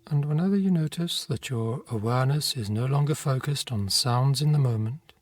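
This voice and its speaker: A man narrating a guided meditation in a gentle, unhurried manner, leaving long pauses between phrases. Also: mid-range voice, no echo. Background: meditation music with singing bowl tones.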